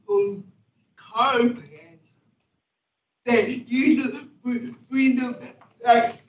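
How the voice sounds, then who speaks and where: A woman speaking slowly during public comment at a meeting, halting and repeating words. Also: fairly high pitch. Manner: slow and halting, with many repeats. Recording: echoey, distant, muffled meeting audio.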